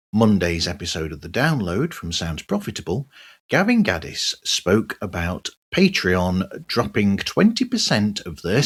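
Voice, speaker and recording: A man's voice: on the low side, slightly rough. A man hosting a podcast monologue in a personal style, dropping wry, sardonic asides as he goes. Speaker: podcast host.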